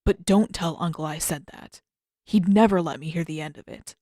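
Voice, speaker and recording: A woman's voice: low voice, moderate pace, mellow, dry recording, voice pushed down. A woman voicing a guarded, grumpy teenage prince in a fantasy story, terse and dry in manner. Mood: guarded, awkward.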